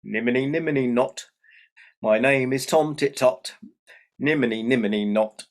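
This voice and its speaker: A man's voice: medium pitch, animated, slow, creaky, breathy edge, heavily acted. A man voicing a small, taunting, gleeful imp with a tail in a told folk tale. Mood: gleeful chanting.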